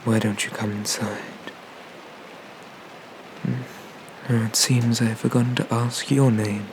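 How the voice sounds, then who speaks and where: A man playing an ancient, haughty but merciful dragon god in an ASMR roleplay, speaking formally and deliberately, with breathy whispers. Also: mid-range voice, little pitch movement, noisy background. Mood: gentle, commanding.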